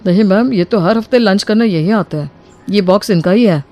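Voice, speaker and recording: A woman voices a restaurant waiter in a cartoon moral story. He is polite and plain-spoken, with a mellow, relaxed tone over music. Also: mid-range voice, fairly quick, close to an everyday voice. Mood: polite, matter-of-fact. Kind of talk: animated Hindi moral story dialogue. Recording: music behind the voice.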